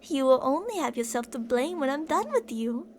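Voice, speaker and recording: Obsessive female yandere captor played by a woman, ASMR roleplay: high, very animated, theatrical, sugary endearments laced with menace. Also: moderate pace, a little hiss or hum. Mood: threatening. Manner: theatrical, teasing sing-song with endearments and threats. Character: older obsessive yandere woman kidnapper, possessive, menacing, sweet-talking.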